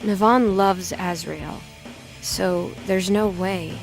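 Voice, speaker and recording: A woman's voice: lowish voice, slow, vocal fry, faint music behind. A woman playing a lovelorn, loyal death goddess in a mythology audio drama, self-conscious and deferential to her superiors. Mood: wistful, dejected. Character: tall lovelorn young female death goddess, loyal sniper.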